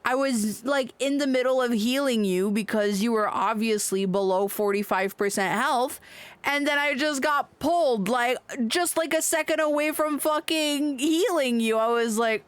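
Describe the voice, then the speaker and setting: A woman's voice: a little high, normal speed, clean tone, no echo. A woman livestreaming a game, giving casual commentary with sweary, exasperated venting. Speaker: livestreamer playing an online game. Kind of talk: casual gaming stream commentary.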